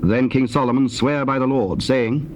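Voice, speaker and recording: A man narrating dramatized scripture in a formal, solemn and measured style. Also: medium pitch, a little mellow. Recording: noisy, hissy old cassette tape.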